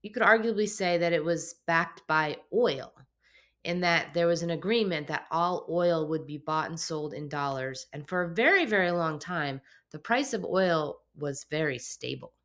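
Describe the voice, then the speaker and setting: A woman hosting an explainer show, talking in a conversational, hedging way, a little hoarse and raspy from a cold. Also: sits low, normal speed, fairly bright tone. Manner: conversational, hedging with filler phrases. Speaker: host. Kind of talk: explainer talk to camera. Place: home office.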